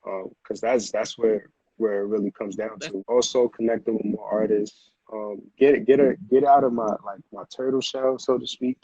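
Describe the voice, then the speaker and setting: An American man with a low, roughened voice talks casually as a guest in an interview. His phrasing is slangy and he often repeats himself. The sound is roomy. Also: steady tone, moderate pace, dark tone, dull audio. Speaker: music producer, interview guest.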